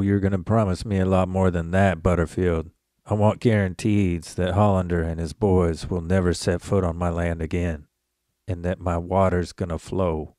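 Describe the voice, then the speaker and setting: A man voices a resolute rancher father in a Western audio drama. His voice is low and soft-edged, recorded dry. He speaks plainly and folksily, weary and stubborn but tender underneath. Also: dry recording, lightly acted. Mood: firm.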